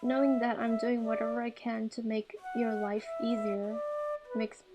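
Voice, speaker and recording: Woman playing earnest young husband in a visual novel, high-pitched, dutiful and weary, music behind. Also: level tone, moderate pace, highs cut off, lightly acted. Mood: devoted.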